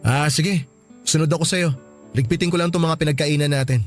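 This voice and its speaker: A man's voice: fairly high pitch, fairly even intonation, a little fast, faint music behind, close to an everyday voice. A man playing a mild, helpful young husband in a radio drama, casual and accommodating.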